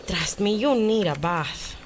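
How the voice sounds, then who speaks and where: An actress playing a brash, sarcastic young hacker, snappy and blunt, in an audio drama. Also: female voice, mid-range voice, lively intonation, unhurried, slight background noise, natural voice. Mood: blunt, bargaining.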